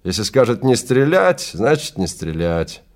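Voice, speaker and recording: A man's voice: medium pitch, sing-song, a little slow. A male actor voicing a brusque, businesslike office clerk in an audio drama, speaking emphatically. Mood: brusque, warning. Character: brusque businesslike male time-safari clerk, talkative and matter-of-fact.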